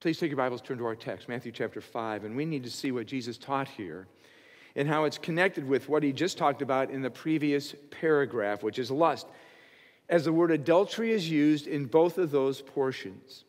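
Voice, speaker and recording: An older man in his sixties preaches from a pulpit with an earnest, teaching manner. His voice sits at a middle pitch and climbs when he stresses a point. A long reverb from the church hall trails each phrase. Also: normal speed. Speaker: pastor. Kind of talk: expository sermon. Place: church stage behind a pulpit.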